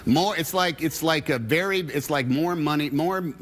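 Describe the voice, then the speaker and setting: A man's voice: a little high, moderate pace. A man appearing as an actor guest on a late-night talk show, joking playfully with the host. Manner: playful, jokey, animated. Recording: noisy broadcast recording.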